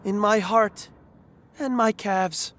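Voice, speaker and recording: A woman's voice: medium pitch, slow, a little hiss or hum, natural voice. A woman playing an awkward, lovestruck young recruit, hesitant, wry and melodramatic, in an audio drama. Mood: wounded, melodramatic.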